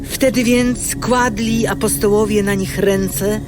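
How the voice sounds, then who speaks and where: A woman's voice: medium pitch, steady tone, takes it slowly, music in the background. A woman reading scripture as scripted narration.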